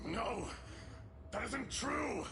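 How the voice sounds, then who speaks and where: A man's voice: extremely high pitch, lively intonation, very slow, exaggerated voice. A man playing a defiant, anguished video game character who refuses to accept fate. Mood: desperate defiance. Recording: echoing game audio with loud music.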